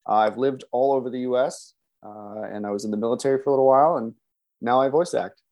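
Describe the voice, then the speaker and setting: A man's voice: mid-range voice, fast talker, darkish tone. An American man from the Chicago suburbs chatting casually as an interview guest, in a self-deprecating, chuckly way. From suburban Chicago, United States.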